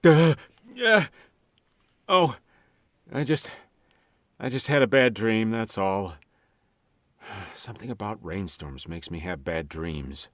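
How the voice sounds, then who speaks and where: A man's voice: on the low side, expressive, slow, long pauses, a bit bright. A man playing a guilt-ridden, haunted man in a radio mystery drama, speaking hesitantly and troubled. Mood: uneasy, haunted.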